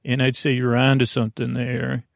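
A man with a creaky, gravelly voice at an ordinary male pitch rambles through a soothing bedtime podcast monologue. He speaks haltingly and wanders off into digressions. The sound is close and dry. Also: completely flat delivery, no echo. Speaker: podcast host.

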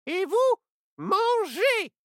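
A man's voice: very high voice, big pitch swings, drawn-out words, a bit bright, dry recording, studio quality, pitched above the performer's own voice, exaggerated voice. A man voicing an old witch posing as a kindly grandma in a children's story, with a quavering, sly voice. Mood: bossy.

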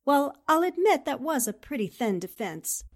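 High-voiced, determined newspaperwoman played by an actress. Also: big pitch swings, normal speed. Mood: resolute, challenging. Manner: assertive, articulate, polite. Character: determined, principled newspaperwoman investigating a murder case. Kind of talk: 1940s radio drama acting.

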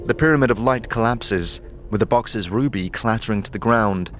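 A man with a fairly low voice narrates a fantasy story. His delivery is scripted, measured and dramatic, addressing the listener as the hero. Music plays clearly behind him.

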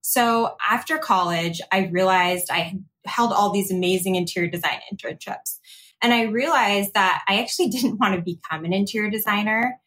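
American female lifestyle guest, mid-pitched, conversational and upbeat, telling her story casually. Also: moderate pace, a bit bright. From Arizona, United States. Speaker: podcast guest, lifestyle blogger.

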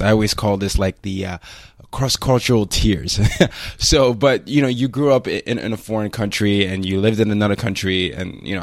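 A man's voice: lowish voice, no echo, clean studio sound. A man hosting an interview podcast, chatty and hesitant, with fillers and restarts. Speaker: podcast host. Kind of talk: casual interview chat.

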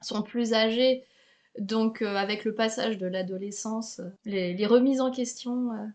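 A middle-aged French woman hosting a relaxed interview, talking with many hesitations and restarts. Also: fairly high pitch, measured pace. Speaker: podcast host. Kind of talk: casual conversational interview.

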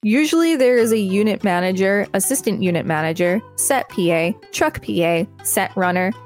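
A woman's voice: mid-range voice, animated, normal speed, faint music behind. A woman reading an encyclopedia article aloud as a podcast co-host. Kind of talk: read-aloud article narration.

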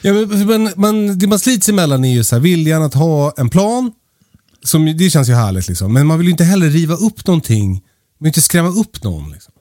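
Mellow, higher-voiced man chatting casually. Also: very animated, no room sound, studio quality. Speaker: podcast host. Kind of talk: casual chat.

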